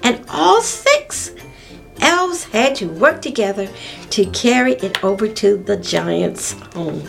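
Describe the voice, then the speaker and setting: A woman hosting story time, reading aloud to young children with exclamatory, drawn-out phrasing and repeated words for emphasis. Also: medium pitch, very animated, gravelly, soft background music.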